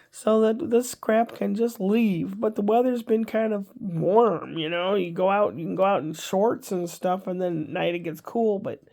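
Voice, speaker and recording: Older woman vlogger, congested, gravelly, rambling casual chat. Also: medium pitch, warm tone. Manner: rambling, chatty, wry asides.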